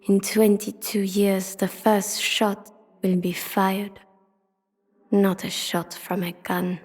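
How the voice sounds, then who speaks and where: A woman voicing an ancient, solemn city spirit in a video game, intimate and prophetic, in a grave breathy whisper. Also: mid-range voice, a little mellow. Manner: slow, incantatory, grave. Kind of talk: scripted video game voice acting. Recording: long cavernous reverb.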